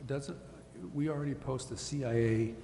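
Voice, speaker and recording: A man speaking as a city official at a public meeting in a formal, measured and hesitant way, choosing his words carefully. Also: medium pitch, steady tone, creaky, mellow. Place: large indoor council chamber. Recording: echoey meeting chamber, faint hiss.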